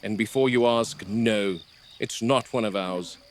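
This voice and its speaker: A man playing a wary, gruff middle-aged game warden in a found-footage horror drama, speaking in a clipped, deliberate way. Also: fairly low pitch. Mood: grave, urgent. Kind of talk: found-footage horror acting, hushed muttering and radio call. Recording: noisy VHS-style found footage.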